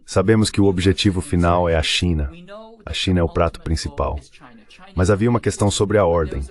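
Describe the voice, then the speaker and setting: A man's voice: low-pitched, brisk. A man giving political commentary as a panel guest, explaining things step by step.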